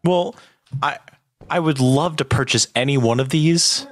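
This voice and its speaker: A man streaming a multiplayer game, reacting loudly with shrieks, laughs and yelps of panic. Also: mid-range voice, unhurried, dry recording, studio quality. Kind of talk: excited gaming commentary.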